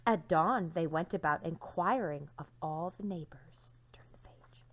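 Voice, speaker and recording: A woman teacher reading a storybook aloud to young children, with dramatic emphasis and hushed endings to her phrases. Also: on the low side, measured pace.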